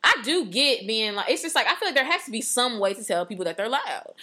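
A woman co-hosting a podcast in casual chat, loud and passionate, laughing often. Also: fairly high pitch, sing-song, quick pace. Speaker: podcast co-host.

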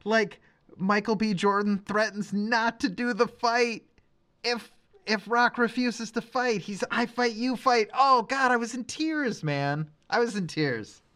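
A man's voice: high voice, measured pace, bright, forceful tone. A man co-hosting a podcast in a casual, emphatic and effusive way, talking like a fan. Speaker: podcast co-host. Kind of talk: casual movie and music chat.

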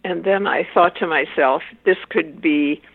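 An older woman whose first language is Swiss German, speaking as an interview guest in a measured, thoughtful way and pausing to search for words. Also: low voice, breathy edge, dry recording. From Wisconsin, USA. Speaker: interview guest, author and family therapist. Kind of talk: reflective interview answers. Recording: tinny phone-like line.

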